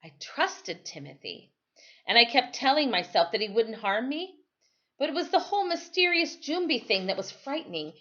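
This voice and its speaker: A woman reading a novel aloud as a storyteller, with careful, dramatic phrasing and expressive narration. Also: high-pitched, moderate pace. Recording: dull, lo-fi sound.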